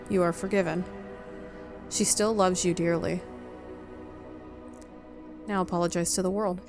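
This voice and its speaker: A woman with a slightly low voice plays through a visual novel, reading the text aloud with drama. Game music runs behind her.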